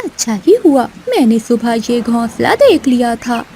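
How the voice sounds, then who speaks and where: A woman's voice: on the high side, big pitch swings, normal speed, gravelly, warm tone, slight background noise. A woman voicing a bossy, self-serving female crow in a children's cartoon. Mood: smug. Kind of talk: Hindi children's cartoon voice acting.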